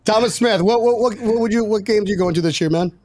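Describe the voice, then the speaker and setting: A man's voice: high-pitched, fast talker, a little mellow. A man hosting a casual sports podcast with jokey, self-deprecating banter and salty language. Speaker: podcast host.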